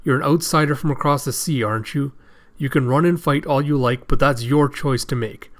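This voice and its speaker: A man's voice: medium pitch, brisk, close to an everyday voice. A man voicing a broken, weary male prisoner in a story retelling, resigned and bitter.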